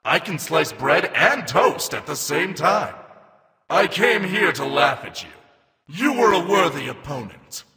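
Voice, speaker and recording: A man's voice: fairly high pitch, big pitch swings, a little slow, creaky, pushed and bright, big-room sound, full character voice. A man voicing a proud, warlike armored mecha god of death for a video game, declaiming grandiose battle cries. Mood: scornful, taunting. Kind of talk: video game character voice lines.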